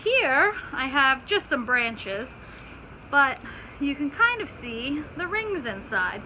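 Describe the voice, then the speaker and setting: A woman's voice: high voice, sing-song, slow pace, sharp tone, rough recording. A woman teacher reading aloud to children, stopping to explain simply and put questions to her listeners. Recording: clear background noise.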